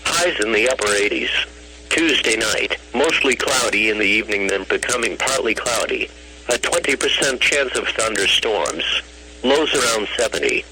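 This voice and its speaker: A synthetic man's voice reading a scripted weather bulletin over the radio, formal and flat, in clipped phrases. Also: on the low side, normal speed. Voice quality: synthetic text-to-speech voice. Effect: radio broadcast. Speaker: automated weather radio announcer. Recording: noisy, humming weather radio broadcast recording.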